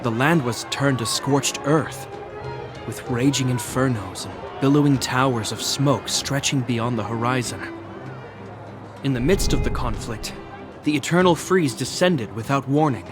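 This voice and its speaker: A man voicing a solemn, dutiful guard captain in a video game, formal, measured and authoritative. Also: mid-range voice, natural voice. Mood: grave, storytelling. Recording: game music behind the voice. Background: orchestral violin music.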